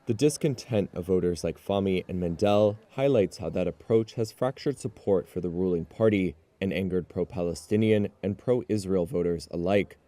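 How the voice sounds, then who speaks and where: Low mellow guy reading a news report, steady and even. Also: dry recording. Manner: measured, even delivery. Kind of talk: scripted news narration.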